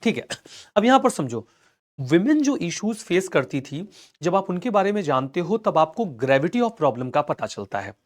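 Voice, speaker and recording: A man with an Indian accent and a slightly hoarse throat, teaching a live online history class and reassuring his students as he goes. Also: fairly high pitch, big pitch swings, moderate pace. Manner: reassuring, calls students "beta", mixes English into Hindi.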